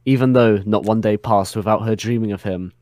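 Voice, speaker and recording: A man's voice: lowish voice, fairly quick, a little mellow, no echo, studio quality. A man narrating a comic dramatic reading of fan fiction with mock solemnity.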